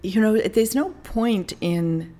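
A woman's voice: mid-range voice, takes it slowly, warm tone. A woman in her sixties from South Africa, with a South African accent, chatting easily as a guest in a podcast interview about her life as a coach. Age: 60s.

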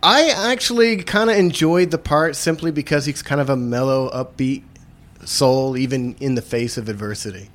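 A man's voice: medium pitch, normal speed, a bit bright. A man who occasionally hosts radio, introducing himself in casual talk.

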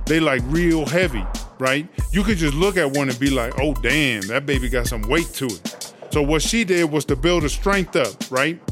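A man plays a streetwise, boastful female prison boss, pitched fairly high. The talk rambles along in profane slang, as in a comedy radio play, with music prominent behind the voice. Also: close to an everyday voice. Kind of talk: comedy audio drama monologue.

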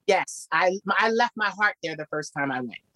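A man with a naturally light voice, hoarse today and a little husky, telling his story animatedly as a guest. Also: normal speed, no echo. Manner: animated, repeats phrases, jumps high for quoted voices. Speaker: guest musician. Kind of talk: casual interview storytelling.

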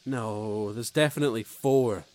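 A man acting a frightened male crew member woken from stasis, halting and disbelieving. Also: mid-range voice, darkish tone, natural voice. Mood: anxious. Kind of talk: audio drama acting.

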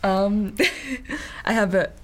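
A woman's voice: medium pitch, level tone, drawn-out words, a crowd in the background. A woman chatting as a tabletop game player, giggly and self-deprecating. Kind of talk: casual tabletop game chat.

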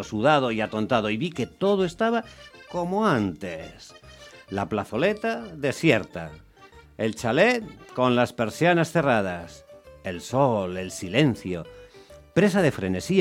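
Man acting an unlucky taxi driver, exasperated, wry, very lively intonation, radio play narration, faint music. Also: medium pitch, unhurried. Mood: weary, narrating. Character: exasperated, unlucky Roman taxi driver telling his story. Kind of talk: dramatised first-person radio narration.

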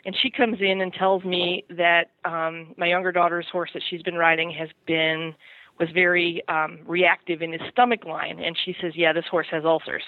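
A middle-aged woman chatting casually and telling personal anecdotes in an animated, self-deprecating way. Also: on the low side, normal speed, no echo. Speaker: podcast segment guest on horse parenting. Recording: thin phone-like line.

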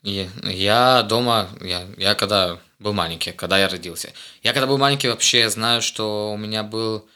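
Low bright-voiced young man, halting. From Israel. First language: Hebrew. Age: young adult. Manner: halting, searching for words, many restarts. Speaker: guest, host's eldest son. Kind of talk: casual conversation.

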